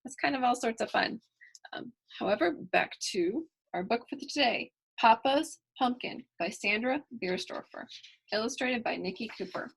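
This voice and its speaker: A woman's voice: fairly high pitch, animated, moderate pace, vocal fry, slightly rough, low-quality recording. A woman reading aloud for children, chatting playfully and directly to young listeners. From United States, Texas. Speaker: storyteller reading picture books aloud.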